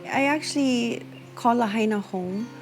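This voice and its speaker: A woman's voice: on the high side, measured pace. A woman from Hawaii who leads music workshops, speaking reflectively in a documentary interview and mixing Hawaiian words into her English. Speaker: music teacher and workshop leader.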